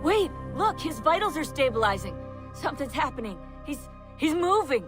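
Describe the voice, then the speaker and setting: A woman's voice: sits high, sing-song, normal speed, over music. A woman playing an anxious young teammate in a video game cutscene, speaking urgently. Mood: startled, hopeful.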